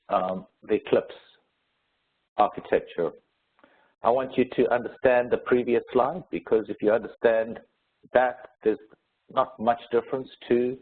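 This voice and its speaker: A man with a stuffy head cold, presenting a webinar in a relaxed, conversational way with fillers. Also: lowish voice, slightly rough. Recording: tinny phone-line webcast audio.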